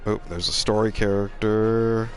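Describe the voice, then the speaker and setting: A man's voice: lowish voice, steady tone, a little slow, faint music behind. A middle-aged man, a game streamer and co-host, chats casually while playing, in a rambling, wry, self-deprecating way.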